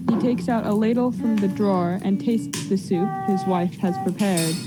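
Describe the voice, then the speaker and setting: A woman acting as narrator of a radio play, reading scripted stage directions in a measured, descriptive way. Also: medium pitch, over loud music, natural voice. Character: stage-direction narrator setting a colonial household scene.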